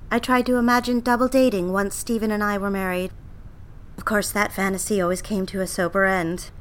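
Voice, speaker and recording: A woman's voice: mid-range voice, fairly bright tone, lightly acted. An actress playing a yearning young woman copywriter who narrates her diary in an audio drama. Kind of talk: scripted first-person audio drama narration.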